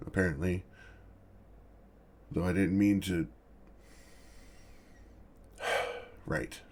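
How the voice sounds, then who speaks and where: A man voicing an awkward, gentle, protective lonely dragon in a soft ASMR roleplay, with a deep gravelly voice and a hesitant, formal way of talking. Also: heavily acted. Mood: awkward, apologetic. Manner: hesitant, self-conscious, halting, formal wording. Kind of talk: ASMR roleplay, speaking softly to the listener.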